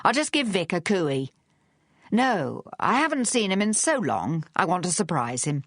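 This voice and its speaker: A man's voice: high-pitched, sing-song, moderate pace, fairly bright tone, no echo, exaggerated voice. Storybook bushman, read by a woman: proud, easygoing, cheerful, slangy man, dry studio sound.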